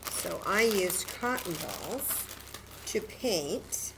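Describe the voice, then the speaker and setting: A woman's voice: lowish voice, expressive, very slow, noisy background. A woman hosting a children's story time, patiently and encouragingly demonstrating a craft step by step in simple, casual words.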